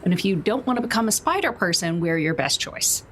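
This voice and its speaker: A woman's voice: medium pitch, animated, brisk, a little hiss or hum. A woman playing a tabletop game, speaking casually in character.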